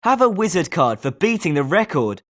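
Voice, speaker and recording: A confident British schoolboy wizard as acted by a woman, a little low in pitch. The melody is very lively, suited to a sporting, competitive character in a video game. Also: normal speed. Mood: congratulatory.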